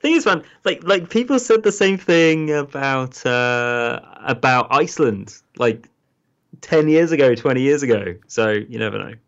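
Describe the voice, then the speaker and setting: A man's voice: mid-range voice, lively intonation, a little slow, fairly bright tone, dull audio. An English man co-hosting a podcast, chatting casually, with easy laughs and lots of fillers. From England. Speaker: podcast co-host. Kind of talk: casual chat.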